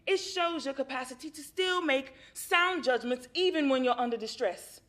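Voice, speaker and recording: A woman's voice: high voice, fairly bright tone. A woman playing a reassuring therapist. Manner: reassuring, measured. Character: calm, encouraging female therapist. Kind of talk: stage play acting.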